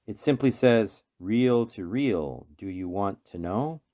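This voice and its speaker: A man narrating a radio play from a script in a measured, deliberate, dramatic way. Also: fairly low pitch, expressive, mellow. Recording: faint music behind.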